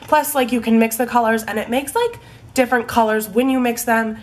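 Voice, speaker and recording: A woman's voice: fairly high pitch, fairly even intonation, fairly quick. A young woman with a stuffy, congested voice from a cold, chatting casually about her favorite products, full of likes and ums. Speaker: beauty vlogger. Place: home room in fading daylight.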